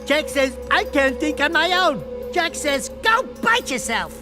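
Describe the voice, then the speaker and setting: A man's voice: very high-pitched, sing-song, a little slow, over music, full character voice. A man voicing a brash, wisecracking small furry animal sidekick in a cartoon video game. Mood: brash, taunting. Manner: brash, mouthy, taunting.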